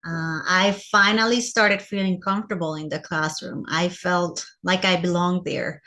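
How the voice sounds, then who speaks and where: A woman's voice: lowish voice, fairly even intonation, normal speed, bright, forceful tone. A woman from Costa Rica with a Costa Rican Spanish accent in English, speaking as a teacher giving a personal presentation to fellow teachers.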